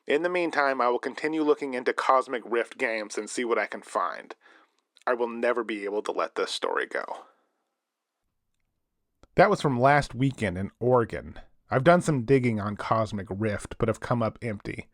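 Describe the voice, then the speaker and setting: An earnest male investigative reporter played by an actor narrates for a late-night radio drama. His voice is dry and close, with a medium pitch and a serious, scripted delivery. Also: moderate pace, a bit bright, no room sound, close to an everyday voice. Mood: somber, resolute. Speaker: reporter and radio show host.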